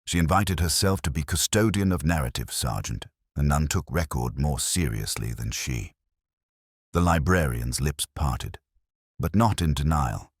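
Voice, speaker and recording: A man narrating a scripted mystery story in a measured, literary and dramatic way. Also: extremely low pitch, lively intonation, breathy edge, no echo, clean studio sound.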